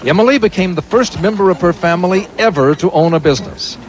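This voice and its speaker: A man's voice: sits high, fast talker. Male field correspondent, street noise behind. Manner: steady, clear reporting voice. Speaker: news correspondent. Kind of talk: scripted field report.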